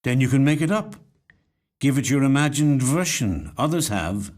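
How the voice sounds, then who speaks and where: A man's voice: mid-range voice, lively intonation, moderate pace, a little mellow, close to an everyday voice. A man playing a sardonic salesman in a short story reading, talking in a dry, wry, persuasive way. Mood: dry, dismissive. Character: sardonic, salesman-like man with buried hurt, swapping stories.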